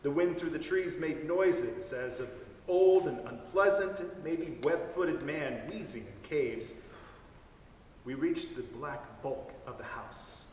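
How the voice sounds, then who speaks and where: Echoey, higher-voiced man reading a story. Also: takes it slowly, darkish tone. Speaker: storyteller reader. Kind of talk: dramatic reading of a literary story. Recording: echoey hall, distant mic, faint noise.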